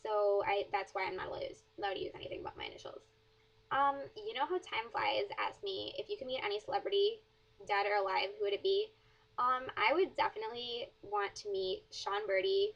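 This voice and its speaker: A teenage girl from chats through follower questions, her light wrapped in a flat, level tone. She rambles, leaning on "like" and "um" as she goes. The audio is dull and lo-fi, like a cheap webcam mic. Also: medium pitch, vocal fry. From southern Wisconsin, USA. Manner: rambling, many fillers and "like". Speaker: blogger answering questions. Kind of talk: casual Q&A vlog.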